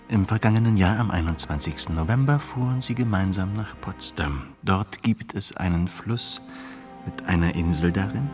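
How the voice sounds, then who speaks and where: An actor playing a grave, sorrowful romantic in a radio drama, recounting in a measured, intense way. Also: male voice, deep voice. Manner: measured, intense, dropping to near whispers. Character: grave, sorrowful romantic man telling a tragic tale.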